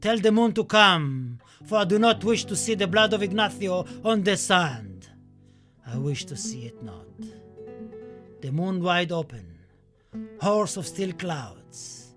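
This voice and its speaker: A man's voice: sits high, pitched above the performer's own voice, heavily acted. A man playing an impassioned, grieving Spanish poet in a radio play, reciting in a solemn, dramatic manner. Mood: anguished, impassioned. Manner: solemn, dramatic, swelling from hushed to declaimed. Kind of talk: theatrical radio play monologue and poetry recital.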